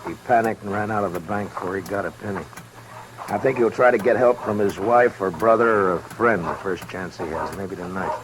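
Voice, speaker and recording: An actor playing a stern, weary, principled American marshal of the Old West in a dry, measured 1950s radio western style. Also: male voice, lowish voice, natural voice. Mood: weary, troubled. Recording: noisy 1950s radio recording.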